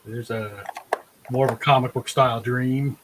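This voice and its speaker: A man with a medium-pitched voice chats informally, as among regulars on a show. Noise and small clicks are audible behind him. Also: steady tone, normal speed. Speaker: drink-and-draw show regular. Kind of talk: casual chat.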